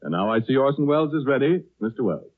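A man announcing in 1930s radio style, polished, genial and measured as he pitches to listeners. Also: sing-song. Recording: tinny vintage 1930s radio broadcast.

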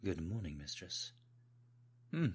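A man plays an obsequious British manservant in an intimate audio roleplay. The voice is low and husky, with formal, deferential and apologetic manners toward his mistress. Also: very animated, takes it slowly, full character voice. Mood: soft, doting. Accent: put-on British.

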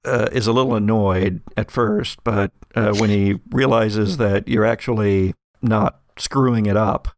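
A man working as game master, narrating a tabletop story in a halting, conversational, improvised way. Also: fairly low pitch, fairly even intonation, creaky, no echo.

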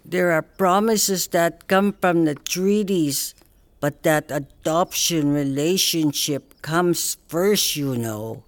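An actor playing an older Northern Cree man, a patient elder who teaches in a slow, gentle way. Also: a little high, no echo. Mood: explaining. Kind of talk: audio drama acting.

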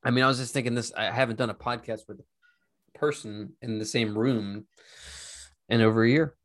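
A man's voice: mid-range voice, steady tone, moderate pace, fairly bright tone. A man hosting a podcast in a casual, slangy, informal way, laughing easily as he chats. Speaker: podcast host.

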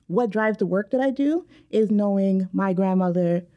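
A woman born in Guyana, speaking in a measured, earnest way about identity in a panel discussion. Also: medium pitch, muffled recording. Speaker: panel guest. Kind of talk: reflective personal discussion.

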